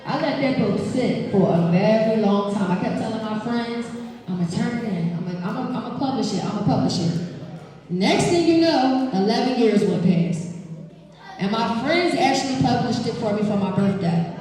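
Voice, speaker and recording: High-voiced woman in a very echoey hall. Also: lively intonation, normal speed, warm tone. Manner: informal, slangy, addressing the pupils directly. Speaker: children's author and speaker at a school visit. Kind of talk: live question-and-answer talk to schoolchildren. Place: large echoing room in a school. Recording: echoey hall, distant mic, noisy children. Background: children's crowd noise.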